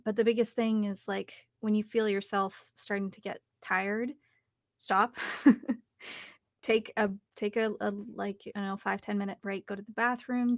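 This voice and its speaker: Young woman with a creaky, dry-recorded voice. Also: mid-range voice, no echo. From Canada. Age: young adult. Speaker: guest, professional audiobook narrator and voice actor. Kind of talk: interview answers, casual advice. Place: home recording booth, indoors. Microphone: studio microphone on a boom arm.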